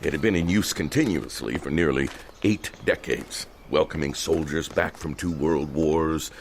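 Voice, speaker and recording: A man playing a proud, pompous elderly theater owner in an audio drama, speaking in a stately, deliberate way. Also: extremely low pitch, a little hiss or hum.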